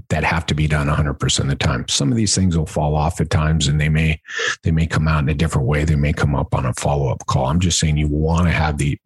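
A man's voice: extremely low pitch, breathy edge, no room sound, low-quality recording. A man hosting an instructional podcast in a conversational way, stressing and repeating key points like a coach. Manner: emphatic, repeats key points, asks rhetorical check-in questions. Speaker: podcast host and business coach.

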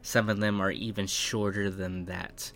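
A man narrating a tabletop game as game master, improvising descriptions in measured, halting phrases. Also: on the low side, level tone, a bit bright. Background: faint background music.

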